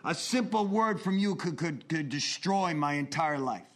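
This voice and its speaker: A man in his forties speaks with an earnest, confessional pull. His voice sits a touch higher than most men's, rising into intense bursts and dropping to hushed asides as he tells his story. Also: animated, unhurried. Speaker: recovery speaker. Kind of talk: personal testimony talk.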